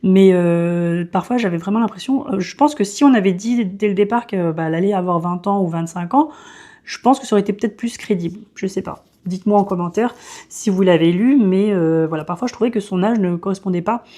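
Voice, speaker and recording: A woman's voice: mid-range voice, brisk, mellow. A woman in her thirties giving a casual, hesitant book review full of fillers and restarts. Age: 30s. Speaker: book vlogger.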